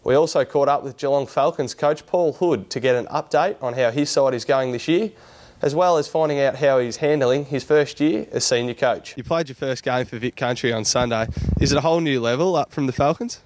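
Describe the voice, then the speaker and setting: A man's voice: medium pitch, fairly quick, a little mellow. A man with an Australian accent working as a sports reporter, reading news stories and putting casual interview questions.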